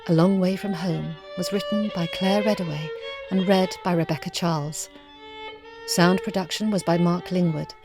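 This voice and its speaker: A woman narrating a literary short story expressively, in measured, evocative phrases with hushed moments. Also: fairly low pitch, steady tone, over music. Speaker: story reader.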